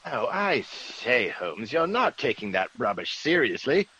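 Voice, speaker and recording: An actor playing a fussy, sceptical British gentleman in a vintage radio drama, genteel, blustery and exclamatory. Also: mid-range voice, sing-song, a little slow, lo-fi sound. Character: fussy, excitable, sceptical English gentleman of the manor. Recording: noisy vintage radio recording.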